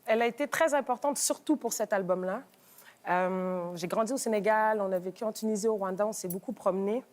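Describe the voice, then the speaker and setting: A young woman from Senegal, a guest singer-songwriter, talking on a TV talk show in a mid-pitched voice. She speaks calmly and hesitates often, filling pauses as she gathers her thoughts. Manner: relaxed, hesitant with many fillers.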